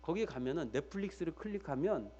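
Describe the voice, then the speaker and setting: Animated, high-pitched male preacher. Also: fast, highs cut off, a little hiss or hum. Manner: emphatic, repeats key phrases for weight; addresses listeners directly with rhetorical questions. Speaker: pastor preaching to a congregation. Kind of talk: church sermon.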